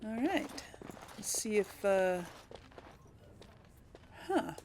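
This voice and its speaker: A woman's voice: mid-range voice, very animated, drawn-out words, a little mellow, a little hiss or hum. A woman doing casual game commentary and role-playing dialogue choices, musing aloud with little hums. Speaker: gamer narrating a role play series.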